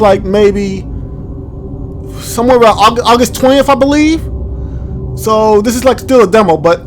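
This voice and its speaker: High-pitched man doing casual game commentary. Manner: informal, halting, thinking aloud. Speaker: gaming video host. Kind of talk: casual let's play commentary, reading on-screen text aloud.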